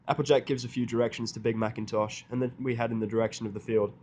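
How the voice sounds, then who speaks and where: A man's voice: medium pitch, little pitch movement, fast, darkish tone, a little hiss or hum. A man narrating a first-person story in character, with a dry, sardonic manner. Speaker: audiobook narrator.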